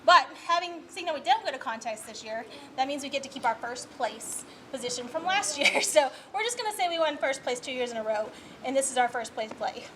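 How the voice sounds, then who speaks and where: A woman's voice: sits high, animated, brisk, a bit bright, a little hiss or hum, low-quality recording. A woman speaking informally and unscripted as a school drama director introducing a play, in a chatty, explanatory way. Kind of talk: informal unscripted speech to an audience.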